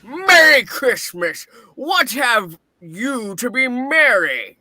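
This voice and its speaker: Man acting a high-pitched, cranky old miser. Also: big pitch swings, takes it slowly, bright, forceful tone, dry recording, full character voice. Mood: scornful. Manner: blustering, snappish, exclaiming. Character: cranky, miserly old male boss, bitter and scornful. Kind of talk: dramatic radio-play reading.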